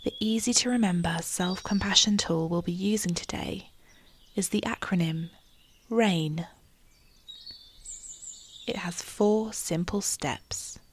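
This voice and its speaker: A woman leading a guided meditation in a soft, breathy, near-whispered voice, calm and soothing, with measured phrasing and long pauses. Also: mid-range voice, a little hiss or hum.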